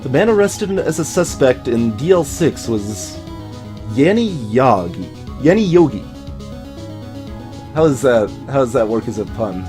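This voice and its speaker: A man's voice: medium pitch, big pitch swings, a little slow, music in the background. A man doing a let's play, reading game dialogue dramatically with playful, wry asides. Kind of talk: dramatic read-aloud of game script with joking asides.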